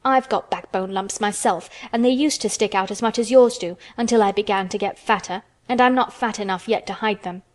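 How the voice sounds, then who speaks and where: A woman voicing a fierce, hot-tempered young girl in a storybook, contradicting and commanding in a shouting manner. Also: medium pitch, a little fast, few pauses, lightly acted.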